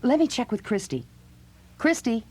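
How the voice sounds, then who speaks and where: A flustered mother, with a husky edge to a fairly high voice. She speaks as if on the telephone in a children's audio drama. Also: big pitch swings, normal speed, a little mellow.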